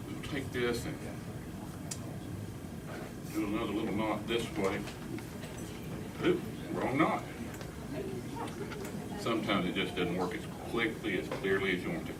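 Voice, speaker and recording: Guy magician teasing his volunteer, off-the-cuff patter, echoey and far from mic. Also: lowish voice. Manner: joking, teasing. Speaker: stage magician. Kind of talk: casual improvised magic act patter. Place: live on stage in a store. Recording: echoey, noisy live room, distant mic.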